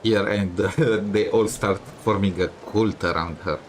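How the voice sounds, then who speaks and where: Low-pitched man commenting on a game. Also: fairly even intonation, normal speed, slight background noise. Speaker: streamer playing a game. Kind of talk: casual gameplay commentary.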